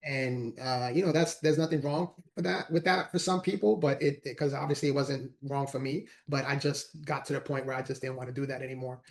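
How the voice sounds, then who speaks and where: Brief: forties man, earnest motivational speaker guest, quick flat delivery. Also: medium pitch. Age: 40s. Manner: earnest, repeats words while talking. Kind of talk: casual interview conversation.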